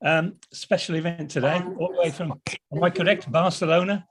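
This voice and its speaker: A man opening an online event as host, relaxed, with ums and uhs. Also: fairly high pitch, a little fast, a crowd in the background. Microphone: video call.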